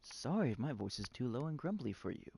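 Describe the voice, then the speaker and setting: A young man talking over his gameplay, sarcastic and quick to swear, his voice leaping around in pitch and jumping into high yelps when startled. The recording carries a clear layer of noise underneath. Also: big pitch swings, a little mellow. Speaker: gamer. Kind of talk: gameplay commentary.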